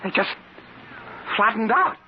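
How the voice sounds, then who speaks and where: An actor playing a slangy, excitable private investigator in an old-fashioned radio drama. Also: male voice, high-pitched, a little slow. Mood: relieved, amazed. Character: jumpy, wisecracking tough private investigator held captive. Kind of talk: 1950s radio drama acting. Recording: muffled, noisy old radio broadcast.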